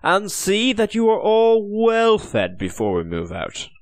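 A man reading an audiobook, voicing an English officer who speaks in measured, placating, formal tones while lying. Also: high-pitched, wildly swooping pitch, no room sound, voice pushed up, exaggerated voice. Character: English captor officer, man, smoothly evasive.

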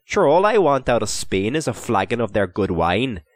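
A man's voice: medium pitch, big pitch swings, normal speed, no room sound, lightly acted. A man reading aloud voices a cheeky young lad who jokes and clowns around. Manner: cheeky, mock-dramatic. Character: young joking lad, lanky and playful.

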